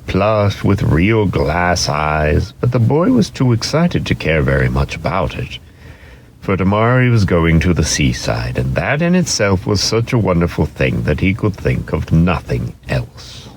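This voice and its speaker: Male narrator, very low pitch, lively swooping intonation, theatrical storybook reading, faint noise. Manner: expressive, theatrical.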